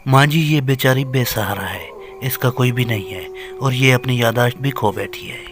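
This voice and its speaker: A man voices a kindly young villager in an audio horror tale. He is earnest, polite and concerned, with a medium pitch and light music behind him. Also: normal speed, natural voice. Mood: earnest, hesitant. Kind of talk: dramatised Hindi horror story acting.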